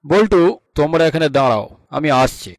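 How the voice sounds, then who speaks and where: A man voicing a cartoon detective officer who questions and gives orders with calm authority. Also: on the high side, a little fast, no echo, natural voice. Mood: calm, probing. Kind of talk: cartoon voice acting in Bengali.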